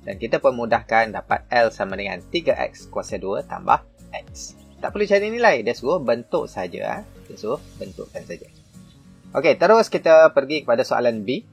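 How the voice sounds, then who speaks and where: Husky Malaysian maths teacher. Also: male voice, mid-range voice, big pitch swings, moderate pace, soft background music. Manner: talks through worked examples with many fillers and self-corrections. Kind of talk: explaining a textbook lesson.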